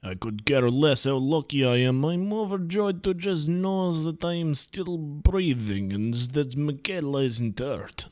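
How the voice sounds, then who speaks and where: Guy doing a mock russian accent, grumpy soviet dude ranting about capitalists, slow and swingy, dry sound. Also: a little high, dry recording. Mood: scornful. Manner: theatrical, hammy, deadpan grumbling. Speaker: player reading a visual novel aloud. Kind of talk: comic read-through with friends.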